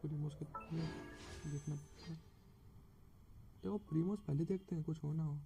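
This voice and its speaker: Indian guy streaming games, casual chatter, mellow slightly high voice, faint music behind. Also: steady tone, rapid-fire, gravelly. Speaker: game livestreamer. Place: voice over game audio on a livestream.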